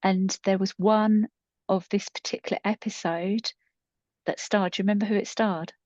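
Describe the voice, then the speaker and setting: A woman's voice: mid-range voice, steady tone, moderate pace, darkish tone, no room sound, muffled recording. A middle-aged woman co-hosting a podcast in casual, unscripted chat, explaining things conversationally. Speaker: podcast co-host and storytelling creator.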